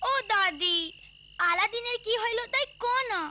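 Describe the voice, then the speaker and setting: A woman's voice: very high voice, unhurried, noisy background. A woman voicing an eager, dreamy young child from a village in a cartoon, with a rural Bangladeshi accent. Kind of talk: cartoon voice acting.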